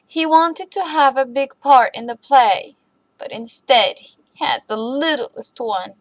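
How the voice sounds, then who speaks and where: A woman reading a children's bedtime story aloud in an expressive, deliberate way for young listeners. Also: high-pitched, no room sound.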